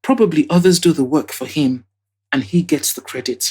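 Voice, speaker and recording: A woman's voice: sits low, brisk, a little mellow, breathy edge. A woman voicing an outspoken, opinionated young female best friend in an audio drama, blunt and emphatic. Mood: dismissive.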